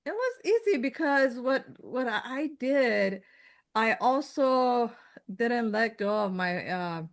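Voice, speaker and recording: A woman's voice: on the high side, animated, slow. A woman in her fifties from Iran with a Persian accent, a guest telling her story in casual chat, rambling with many fillers and restarts. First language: Farsi. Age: 50s.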